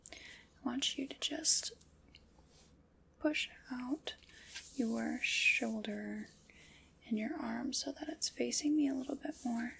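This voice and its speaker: A woman plays a calm, courteous armor fitter who whispers softly and takes her time over every word. Her breathy whisper sits a little high, with a gravelly edge on the voiced words, and there is a faint hiss behind her. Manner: soft whispering, slow and attentive. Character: calm polite woman fitting armor, measuring. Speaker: ASMR roleplay creator. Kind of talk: ASMR roleplay whispering.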